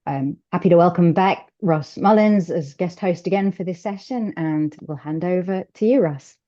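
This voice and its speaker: A woman organizer reading brisk scripted announcements to open a conference session. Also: lowish voice, dark tone, highs cut off.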